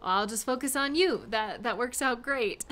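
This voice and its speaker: A woman acting a giggly, high-voiced flirty beginner skater. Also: a little slow. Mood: nervous, giggly. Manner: giggly, hesitant, chatty, with excited exclamations. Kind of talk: intimate audio roleplay, one-sided conversation.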